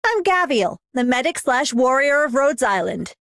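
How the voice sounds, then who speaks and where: A woman's voice: high-pitched, sing-song, normal speed, fairly bright tone, dry recording, studio quality, heavily acted. An actress voicing a brash, confident female medic-warrior in a game, blunt and breezy. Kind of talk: scripted game character voice line.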